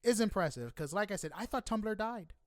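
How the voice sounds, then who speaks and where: A man's voice: sits high, animated, fairly quick, no echo, clean studio sound. A man hosting a casual interview podcast, talking in a chatty, exclamatory, effusive way. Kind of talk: casual interview with scripted-sounding greeting.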